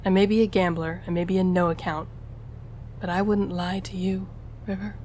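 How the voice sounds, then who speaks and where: A woman's voice: fairly low pitch, level tone, normal speed, darkish tone, slight background noise, close to an everyday voice. A woman playing a charming, flirtatious gambler and stowaway in an intimate audio roleplay, teasing and conversational as she speaks to the listener. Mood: persuasive. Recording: music behind the voice.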